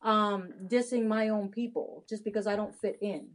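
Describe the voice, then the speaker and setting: A woman's voice: mid-range voice, steady tone, moderate pace. A woman in her thirties, born in Haiti, talking candidly as an interview guest, with frequent restarts. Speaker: guest, adoption consultant. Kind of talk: casual interview conversation.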